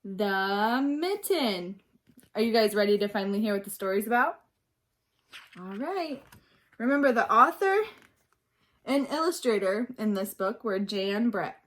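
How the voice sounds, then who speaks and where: A woman reading a picture book aloud like a teacher, expressively, pausing to ask and explain things simply for young children. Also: medium pitch, animated. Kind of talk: read-aloud storytelling mixed with simple questions and explanations.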